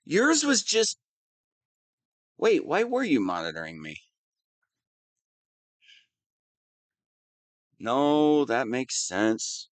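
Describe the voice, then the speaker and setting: A man's voice: medium pitch, wildly swooping pitch, slow pace, no room sound. A man playing a whiny, lovestruck young wannabe villain in an audio roleplay, petulant and defensive. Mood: indignant, shocked, then thoughtful. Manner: petulant, defensive, swinging between sheepish mumbling and indignant outbursts. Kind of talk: scripted audio roleplay monologue.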